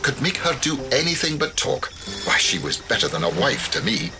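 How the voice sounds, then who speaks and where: A bluff, unflappable old ship's captain in a full-cast audio drama, tossing off nautical phrases with easy, fatherly warmth. His voice rises and falls freely, and a steady music bed runs beneath the scene. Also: fairly high pitch, moderate pace, vocal fry. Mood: rueful. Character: bluff older sea captain, hearty and unflappable. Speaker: ship captain.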